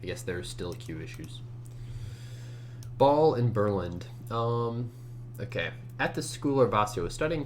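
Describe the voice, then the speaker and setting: Male programmer, deep sleepy-morning register, casual muttered think-aloud, audible hum and key clatter. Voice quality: low morning voice. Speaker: competitive programmer narrating a screencast. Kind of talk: casual think-aloud commentary while coding. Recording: steady hum and keyboard typing noise. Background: computer keyboard typing, hum.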